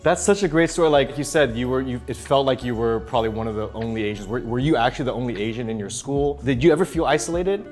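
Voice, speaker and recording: A man's voice: medium pitch, fast, hardly any pauses, a little mellow, soft background music. A man hosting a casual interview show, talking with an upbeat, enthusiastic manner in a cafe after hours. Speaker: host.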